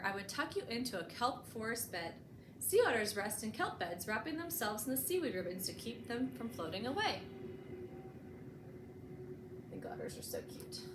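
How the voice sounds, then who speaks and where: A woman reading a picture book aloud for children as a storytime host, expressively and with deliberate emphasis, adding short explanatory asides. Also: fairly high pitch. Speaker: librarian hosting a bedtime storytime. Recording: echoey room with clear background noise.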